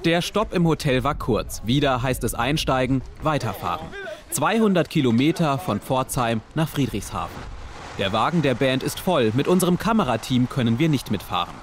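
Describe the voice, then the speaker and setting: A man narrating a television documentary in calm, even scripted voice-over. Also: mid-range voice, normal speed, a little hiss or hum.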